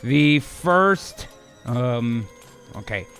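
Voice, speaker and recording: A man's voice: medium pitch, lively intonation, drawn-out words, a bit bright, soft background music. A man co-hosting and narrating an improvised radio drama, with loose, casual, bro-ish banter. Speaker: narrator and co-host.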